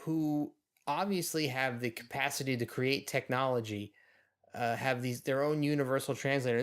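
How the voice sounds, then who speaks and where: A man co-hosting a podcast, giving casual, wryly amused commentary on an episode as he watches. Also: medium pitch. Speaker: podcast co-host.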